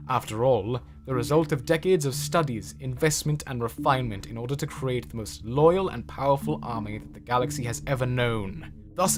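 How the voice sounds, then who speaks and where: A man's voice: medium pitch, lightly acted. A man playing a proud, zealous military general with a formal, speechifying manner in a dramatic comic dub. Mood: indignant, proud. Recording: music behind the voice. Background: music.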